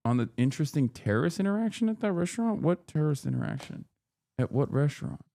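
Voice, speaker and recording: A man in his thirties from Turkey, streaming and riffing on chat messages in a loud, joking, slangy way with plenty of swearing. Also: a little high, big pitch swings, fast, gravelly, no echo. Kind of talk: casual livestream chat.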